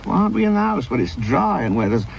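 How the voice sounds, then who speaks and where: An actor playing a wry, middle-aged English police sergeant with a gravelly voice, grumbling and dry-humoured in a radio drama. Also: male voice, fairly high pitch, very animated, fast talker, dull audio, heavily acted.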